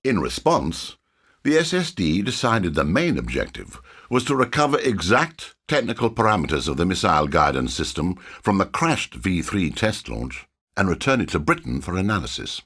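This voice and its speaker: A man's voice: deep voice, huge pitch swings, normal speed, fairly bright tone, breathy edge. A man narrating a war game cutscene with an emphatic, formal, scripted delivery.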